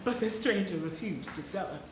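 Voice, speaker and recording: A woman's voice: mid-range voice, expressive, a little fast, close to an everyday voice. An actress playing a grieving freed mother searching for her lost daughter, in a dramatic stage reading. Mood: sorrowful. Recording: very echoey hall, faint noise.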